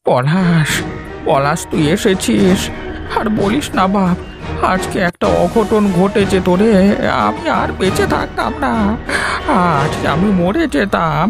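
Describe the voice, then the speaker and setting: A man playing a frightened, kindly old village grandfather in a horror cartoon, with an anxious, pleading delivery and a creaky voice. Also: high voice, animated, slow pace, over music, full character voice. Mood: shaken, fearful. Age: older.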